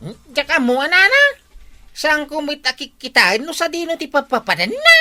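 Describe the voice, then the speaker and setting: High, animated, nosy tricycle driver. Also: female voice, moderate pace, soft background music, exaggerated voice. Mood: defensive, exclaiming. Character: nosy, cheeky young tricycle driver Jose. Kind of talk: Ilocano radio-style comedy drama acting.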